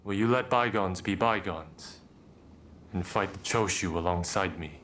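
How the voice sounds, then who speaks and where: An actor playing a formal, diplomatic clan leader in a video game cutscene. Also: male voice, low voice, a little slow, a bit bright, a little hiss or hum. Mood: assuring.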